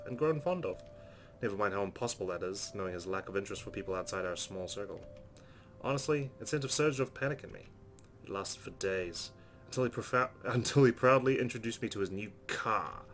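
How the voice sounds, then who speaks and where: A man reading first-person visual novel narration aloud as a let's player, in an earnest, expressive storytelling style. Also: on the low side, fast talker, a little hiss or hum. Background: soft game music.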